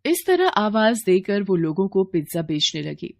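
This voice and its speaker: A woman's voice: medium pitch, sing-song, normal speed, creaky, darkish tone. A woman reading a scripted children's moral story as narrator.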